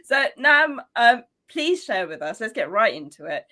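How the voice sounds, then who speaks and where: A woman's voice: fairly high pitch, very animated, measured pace, bright, forceful tone. A woman hosting a podcast, reading a guest introduction and chatting with her guest. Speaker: podcast host. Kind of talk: podcast interview.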